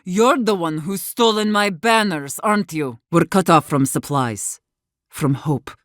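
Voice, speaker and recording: A woman's voice: on the low side, measured pace, no echo, studio quality, lightly acted. An actress voicing an embittered, defiant woman warden leader in a video game, weary but fierce, speaking in a blunt, earnest way. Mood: guarded, earnest. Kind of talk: dramatic game dialogue.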